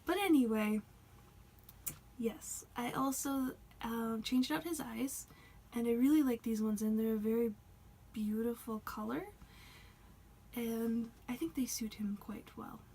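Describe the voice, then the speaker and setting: A woman's voice: on the high side, unhurried, long pauses, a little hiss or hum. A woman chatting casually in a vlog, rambling and self-conscious, her voice a bit worn as she is losing it. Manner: rambling, self-conscious, soft-spoken with laughs. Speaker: doll hobby vlogger.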